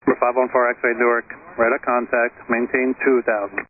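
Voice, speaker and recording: A man's voice: mid-range voice, flat delivery, normal speed, narrow, tinny audio. A man working as an air traffic controller, giving clipped routine instructions over radio. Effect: aircraft radio.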